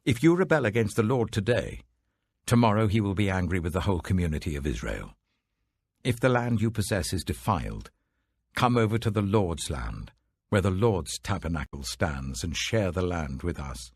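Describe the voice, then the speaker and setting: A man voicing an indignant Israelite envoy in a scripture reading, delivering forceful, accusatory oratory. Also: deep voice, sing-song, moderate pace, husky, dry recording, heavily acted. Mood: stern, indignant.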